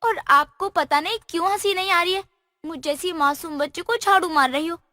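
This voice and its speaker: A woman's voice: very high voice, brisk, sharp tone, no room sound, clean studio sound. A woman voicing a cheeky, playful fairy girl in a cartoon story. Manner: teasing, chirpy, mischievous. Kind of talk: cartoon voice acting.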